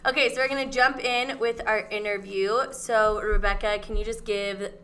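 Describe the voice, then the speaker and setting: A woman's voice: medium pitch, steady tone, moderate pace, a bit bright. A woman hosting a podcast in casual chat, breezy and informal in how she talks. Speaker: podcast host, teacher.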